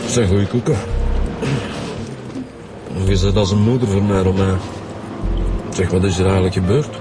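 A man's voice: low voice, level tone, moderate pace, close to an everyday voice. A man playing a grumbling, laid-back police detective with a Flemish accent. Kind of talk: radio drama acting. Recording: music under dialogue.

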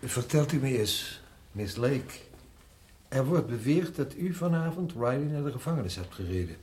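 A man acting as a prison director in a radio drama. He has a medium-pitched voice and speaks deliberately, with sober, formal authority. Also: animated, darkish tone, lightly acted. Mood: formal, explanatory.

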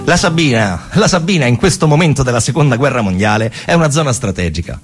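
A man's voice: mid-range voice, lively intonation, quick pace, over loud music. A man performing a theatrical narrative monologue, telling the story emphatically in short, colloquial, repeated phrases. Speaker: stage actor and narrator.

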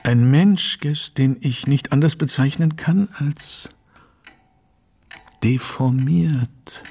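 An actor playing a courteous, troubled Victorian gentleman in a German radio play, grave and formal, with a husky voice. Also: medium pitch, heavily acted. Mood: brooding, troubled. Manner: measured, formal, brooding.

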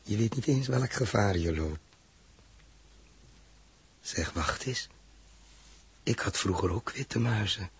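A man's voice: lowish voice, expressive, takes it slowly, pauses often, slightly rough, full character voice. A man playing a pensive, wary astronaut, murmuring to himself in a 1960s radio drama. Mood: gently amused. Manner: musing, murmured soliloquy. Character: pensive, wary male astronaut on an alien planet. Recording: 1960s radio recording with music.

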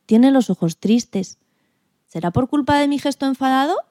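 Woman voicing a mellow, expressive little guinea pig. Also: high-pitched, moderate pace, no room sound, clean studio sound. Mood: grumbling. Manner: expressive, exclamatory, plaintive. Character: indignant, timid male guinea pig telling his story. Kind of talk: children's radio drama storytelling.

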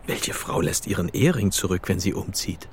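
A man's voice: deep voice, expressive, a little fast, a little hiss or hum. A man playing a dry, wry police detective in a German audio drama, talking colloquially to a partner. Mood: pondering.

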